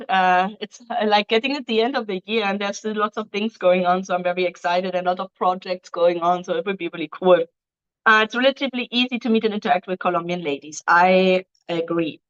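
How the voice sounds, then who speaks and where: A woman hosts a casual live stream, reading out viewer questions and answering at length. Her voice sits at a middle pitch, and her English runs loose, with frequent ums and restarts. The audio is dull and lo-fi. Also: fairly bright tone, clear, resonant tone. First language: German. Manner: reads questions aloud, answers in loose non-native phrasing with many ums. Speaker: dating agency host answering viewer questions.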